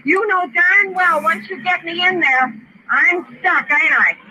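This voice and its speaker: A woman's voice: extremely high pitch, unhurried, bright, forceful tone. A woman in her forties, frightened and agitated, pleading and repeating herself in candid conversation. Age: 40s. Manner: pleading, repetitive. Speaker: patient held by police. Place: echoey detention room. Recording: noisy, echoey, dull old radio recording.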